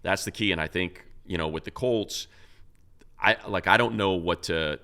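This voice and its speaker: Deep gravelly-voiced guy rambling about football, lots of restarts and "you know"s. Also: normal speed, pushed and bright. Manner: loose, rambling, many restarts and fillers. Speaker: co-host, radio play-by-play announcer. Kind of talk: casual sports talk.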